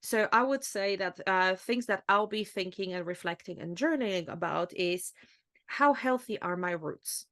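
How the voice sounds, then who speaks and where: A woman's voice: medium pitch, normal speed, a bit bright. A woman from Poland with a Polish accent, co-hosting a podcast in a casual, reflective conversational style. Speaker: astrology podcast co-host.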